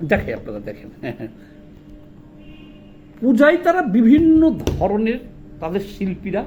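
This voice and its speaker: A man's voice: sits high, a little mellow, slight background noise. A man with a Bangladeshi accent giving informal video commentary, indignant and argumentative, posing rhetorical questions in colloquial speech. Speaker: social commentator.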